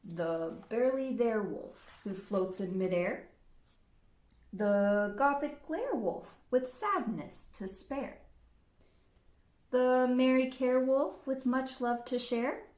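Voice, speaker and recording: A woman's voice: fairly high pitch, slow pace, darkish tone, echoey room. A woman voicing a big, menacing, sceptical male wolf in a children's storybook. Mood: menacing, questioning. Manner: snarling, skeptical. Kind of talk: children's storybook read-aloud.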